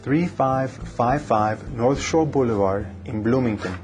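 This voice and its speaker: A man's voice: medium pitch, measured pace, a little mellow, faint music behind, close to an everyday voice. The courteous middle-aged man an actor sitting opposite an official in an instructional film, answering interview questions briefly and carefully. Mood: cooperative, careful. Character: polite, cooperative middle-aged male citizenship applicant. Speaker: actor playing a citizenship applicant. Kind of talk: scripted educational dramatization.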